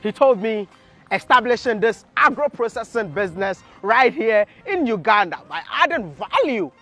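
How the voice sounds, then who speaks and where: A man's voice: high-pitched, normal speed. A man narrating a travel vlog as host, enthusiastic and speaking straight to the viewer. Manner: enthusiastic, lively, addresses the viewer. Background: faint music.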